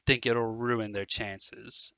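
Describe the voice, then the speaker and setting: A man's voice: on the low side, flat delivery, slow pace, gravelly, sharp tone, clean tone, dry recording, full character voice. A man playing an intense, driven teenage boy sports captain in an audio drama, urgent and emphatic. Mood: livid, urgent.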